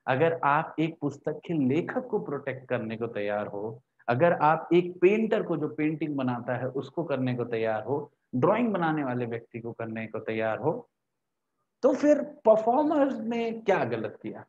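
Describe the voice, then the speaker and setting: A man's voice: fairly high pitch, big pitch swings, unhurried, a little mellow, muffled recording. A young man lecturing as a teacher, explaining step by step with repeated points and questions he answers himself. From India. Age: young adult. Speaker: law teacher. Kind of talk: lecture to camera, Hindi mixed with English terms. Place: small indoor room with a whiteboard. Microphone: lapel mic.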